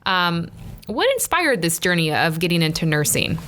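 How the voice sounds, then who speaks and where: A woman's voice: lowish voice, sing-song, moderate pace, a bit bright. A woman co-hosting a podcast, introducing her guest and asking loose, rambling questions full of fillers. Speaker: podcast co-host. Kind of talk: casual interview questions.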